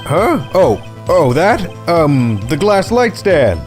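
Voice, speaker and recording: A man's voice: a little high, sing-song, slow, darkish tone. A man voicing a nervous, bumbling, whiny witness in a video game dub, stammering and flustered as he talks. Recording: music under the voice.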